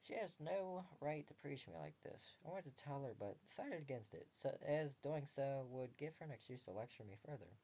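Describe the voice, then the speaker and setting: A man's voice: medium pitch, animated, a little fast, few pauses, warm tone, husky. A man reading a visual novel aloud as a game video host, with joking comments between passages. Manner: reads narration and dialogue in a mostly plain voice, joking asides. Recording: dull lo-fi home recording with faint background ambience.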